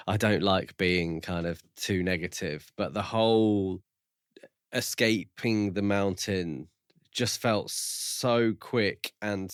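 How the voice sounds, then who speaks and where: A man co-hosting a podcast, discussing a book casually in a hesitant, measured way. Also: on the low side, smooth, dry recording, clean studio sound. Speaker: podcast co-host reviewing a book.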